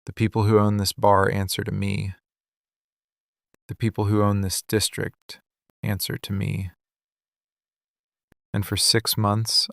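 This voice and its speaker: Low, gravelly, possessive mafia boss acted by a man. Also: a bit bright, no echo, studio quality. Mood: menacing, demanding, then obsessive confession. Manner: slow, deliberate, commanding, menacingly intense. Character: possessive, obsessive male mafia boss confronting his love. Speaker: ASMR voice actor. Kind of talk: intimate boyfriend ASMR roleplay.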